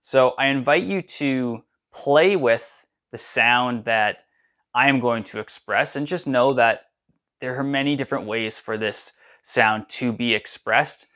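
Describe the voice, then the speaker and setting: A man leading a guided sound meditation, speaking in calm, unhurried instructions between drawn-out chanted syllables. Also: mid-range voice. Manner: slow, soothing guidance with drawn-out chanted syllables.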